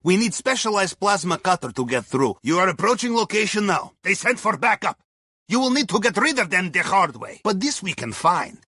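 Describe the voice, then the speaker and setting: Russian sub helmsman for a game, upbeat heist planner, husky but high voice, dry humor. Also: sing-song, fairly quick, sharp tone, no echo, heavily acted. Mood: wry, advising. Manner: chummy, dryly humorous, clipped broken English. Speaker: video game character, submarine helmsman. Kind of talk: scripted game dialogue, mission briefing.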